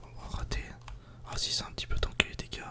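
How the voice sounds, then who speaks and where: A man softly whispering casual, informal ASMR commentary while playing a video game. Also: quick pace. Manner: soft-spoken, casual, informal.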